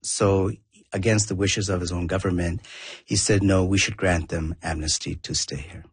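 Husky low-voiced man from southern India. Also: flat delivery, normal speed, no echo. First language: Tamil. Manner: reflective, rambling with restarts. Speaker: documentary filmmaker guest. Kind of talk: conversational interview.

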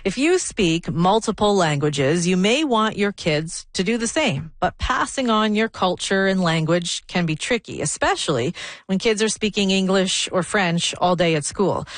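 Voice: mid-range voice, expressive, normal speed, dry recording. A radio morning show host introducing segments and interviewing a guest in conversational talk. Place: radio studio.

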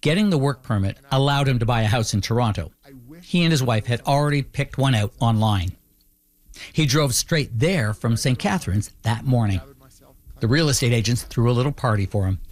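Male documentary narrator, mid-pitched voice. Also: lively intonation, moderate pace. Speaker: narrator, host.

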